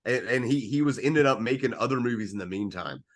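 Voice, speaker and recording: Male film podcast co-host, mid-pitched, casual and opinionated, dry wit, salty language. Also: fairly quick. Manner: wry, profane, opinionated.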